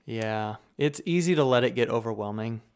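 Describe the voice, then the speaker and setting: Smooth-voiced wry male guest. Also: mid-range voice, normal speed. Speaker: guest co-host. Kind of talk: casual podcast chat.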